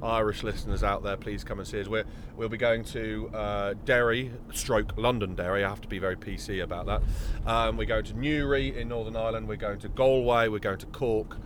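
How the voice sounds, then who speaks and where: A man's voice: lowish voice, fairly even intonation, a little fast, noisy background. A British man, a touring actor, chatting casually while on the road, full of ums and restarts. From Britain. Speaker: touring actor and podcast co-host. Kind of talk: casual chat recorded on the road. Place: moving van on tour.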